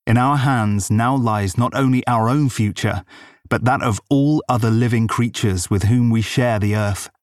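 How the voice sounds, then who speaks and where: A man narrating an audiobook, reading quotations in a deliberate, formal delivery. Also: mid-range voice, no echo, clean studio sound.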